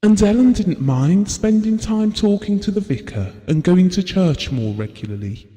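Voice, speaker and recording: Tender, wistful husband, mellow and reverberant. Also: male voice, high voice, animated, moderate pace. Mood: fond, wistful. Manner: tender, reflective storytelling. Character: devoted, wistful, estranged husband reminiscing about his marriage. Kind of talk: intimate audio drama monologue.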